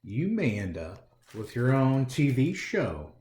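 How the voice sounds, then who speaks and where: A man's voice: medium pitch, very animated, slow, darkish tone. An American man hosting a storytime, reading a picture book aloud in a theatrical way and talking directly to young listeners. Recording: roomy, echoey indoor sound.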